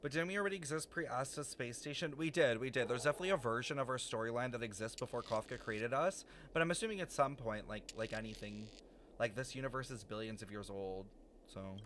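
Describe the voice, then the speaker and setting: A man's voice: mid-range voice, quick pace, fairly bright tone, a little hiss or hum. A man streaming a game, giving casual live commentary, reacting with animation and thinking aloud about the story.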